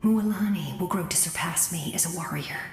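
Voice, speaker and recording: An actress plays a dutiful warrior mentor. Her slightly low voice is grave and earnest, a selfless game heroine speaking in an echoey space over music. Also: fairly quick, natural voice. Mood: sorrowful, proud. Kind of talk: video game voice acting.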